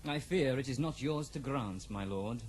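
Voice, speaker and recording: A man's voice: medium pitch, animated, moderate pace, darkish tone, husky. A man playing an earnest, devoted young prince, humble but resolute, speaking formally in a fantasy audio drama.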